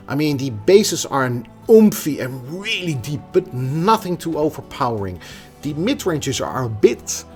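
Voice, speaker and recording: A man presenting a product review in a conversational, emphatic way, occasionally stumbling over a word. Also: mid-range voice. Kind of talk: scripted product review with casual asides.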